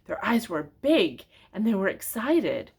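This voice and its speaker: An older woman reading a children's storybook aloud in a gentle, expressive way, often speaking directly to her young listeners with questions. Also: medium pitch, unhurried, slightly rough.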